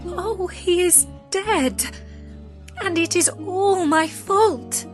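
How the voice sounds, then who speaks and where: A woman's voice: very high-pitched, drawn-out words, soft background music, voice pushed up, full character voice. A woman voicing a tender, anxious young fairy-tale heroine in an earnest, emotional way. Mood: grief-stricken.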